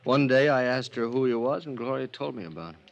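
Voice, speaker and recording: A man playing a grieving, defensive ex-husband in a 1950s radio drama, speaking in a halting, plaintive, emotional way. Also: medium pitch, expressive, gravelly, lo-fi sound. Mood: subdued, defensive.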